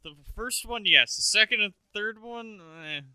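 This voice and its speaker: A man's voice: high-pitched, animated, unhurried, pushed and bright. A man co-hosting a podcast in casual banter, throwing in blunt, contrarian remarks. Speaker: podcast co-host.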